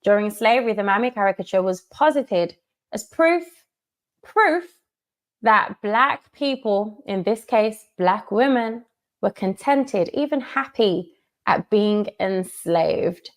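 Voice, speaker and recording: A woman speaking at a middle pitch delivers a measured monologue, stressing her points and repeating them for weight. Her tone stays firm and deliberate throughout. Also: expressive. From United Kingdom. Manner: measured, emphatic, repeats key phrases. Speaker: commentator in a played video. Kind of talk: monologue commentary.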